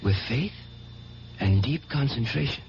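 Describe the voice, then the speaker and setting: A man's voice: mid-range voice, expressive, unhurried, darkish tone, dull audio, a little hiss or hum. An actor playing a devout, insecure young man, earnest and intense, in a 1970s radio drama on an old recording. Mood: fervent. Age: young adult.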